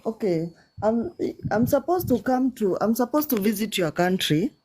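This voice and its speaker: Hesitant mellow woman from Kenya. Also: fairly high pitch, expressive, normal speed, breathy edge. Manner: hesitant, polite, restarts often. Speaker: caller. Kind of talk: call-in chat.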